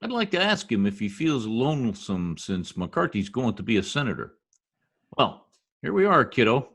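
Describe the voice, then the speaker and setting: Animated male voice, American accent, pompous bumbling census taker, acted by a man, slangy, old-time radio comedy. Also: medium pitch, moderate pace, breathy edge, full character voice. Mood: blustering. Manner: slangy, pompous, mangles words. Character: blustering, folksy, middle-aged American husband, bumbling census taker.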